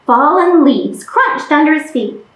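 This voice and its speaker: A woman reading a picture book aloud to children as a storytime host, with expressive, deliberate delivery. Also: high voice. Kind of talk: animated children's read-aloud. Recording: echoey room.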